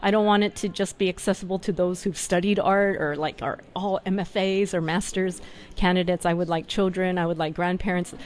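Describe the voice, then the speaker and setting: A woman's voice: lowish voice, steady tone, fairly quick, a little mellow, a little hiss or hum. Chatty woman at an art fair. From California, USA. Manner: chatty, laughs often, uses fillers like "um" and "like". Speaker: artist, guest. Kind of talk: casual interview. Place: busy art fair booth.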